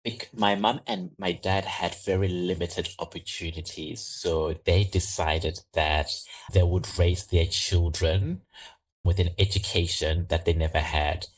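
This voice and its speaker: A middle-aged man from Zimbabwe, a podcast guest, telling his life story in slow, thoughtful interview answers. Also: sits low, steady tone, a little hiss or hum, low-quality recording.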